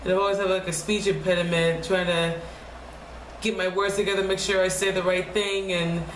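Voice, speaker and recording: A young woman speaking quietly in a low, deep voice, talking haltingly and restarting her words often in a casual personal vlog at home. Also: level tone. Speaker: vlogger. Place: home room. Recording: echoey room with faint noise.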